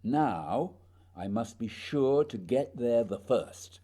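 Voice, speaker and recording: A man's voice: mid-range voice, animated, slow pace, warm tone. A man playing a proud, determined naval explorer in a radio play, speaking earnestly and dramatically, often questioning. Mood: determined, wary.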